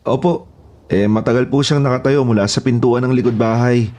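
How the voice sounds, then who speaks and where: A man's voice: medium pitch, fairly even intonation, a little fast, a little mellow, lightly acted. A man playing a polite, obedient houseboy and gardener who speaks deferentially in an audio horror drama. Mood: respectful, eager. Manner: deferential, eager to report.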